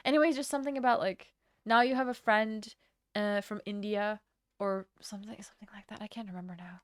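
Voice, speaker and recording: A woman's voice: mid-range voice, fairly even intonation, a little fast, dry recording, clean studio sound. A young woman from Latvia chatting casually on a live stream, rambling from topic to topic and swearing freely. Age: young adult.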